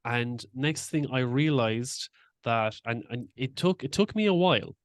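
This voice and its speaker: Man, podcast host, smooth clear voice, dry close sound, open, shares personal stories. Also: mid-range voice, animated, a little slow, no echo. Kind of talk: casual interview talk.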